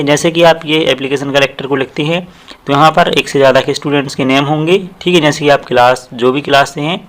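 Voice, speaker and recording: A man's voice: on the high side, fairly bright tone. A man with an Indian accent teaching a lesson step by step, explaining and repeating phrases as he goes. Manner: explanatory, repeats phrases, slows down for quoted sentences. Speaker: tutorial teacher.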